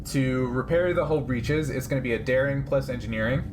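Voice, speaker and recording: A man's voice: mid-range voice, fairly quick, background noise. A man running a tabletop role-playing game, explaining rules and narrating in a conversational way. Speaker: game master.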